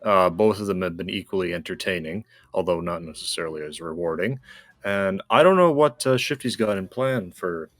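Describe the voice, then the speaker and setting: A man's voice: low voice, a little fast, fairly bright tone, no room sound. A man co-playing on a gaming show, giving casual commentary in wordy, explanatory phrasing. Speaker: guest co-player on a gaming show.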